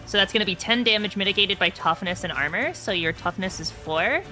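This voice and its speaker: A woman with a bright voice acting as game master, explaining the action and rules of a tabletop roleplaying game. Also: mid-range voice, brisk, poor audio. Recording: background music under the voice.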